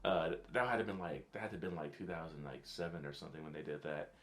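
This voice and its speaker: A man's voice: rumbling low, fast talker, fairly bright tone. A man co-hosting a podcast, talking casually in a rambling, informal way with enthusiasm for games. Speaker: podcast co-host.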